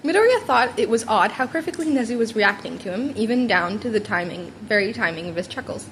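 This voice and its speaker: Female amateur audiobook narrator, animated and informal, occasional stumbles, faint background noise. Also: a little high, a little fast, poor audio. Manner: informal, stumbling over words and rereading. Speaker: fan fiction audiobook reader. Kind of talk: expressive scripted story narration.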